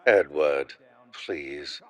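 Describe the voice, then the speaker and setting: An actor playing an anxious, dismayed scientist, pleading and indignant, in a video game. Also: male voice, extremely low pitch, drawn-out words, a bit bright, full character voice. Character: anxious, dismayed male German scientist colleague. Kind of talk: video game cutscene acting.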